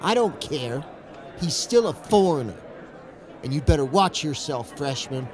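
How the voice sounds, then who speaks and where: A man playing a hostile, threatening high school bully in an audio drama. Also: animated, unhurried, a little mellow, a faint crowd. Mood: menacing.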